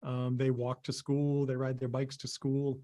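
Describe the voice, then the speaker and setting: A middle-aged man from Maine, a guest on a video call, speaking in halting, casual sentences full of ums and restarts. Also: medium pitch, monotone, warm tone. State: losing his voice, clearing throat. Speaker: interview guest, visual effects artist.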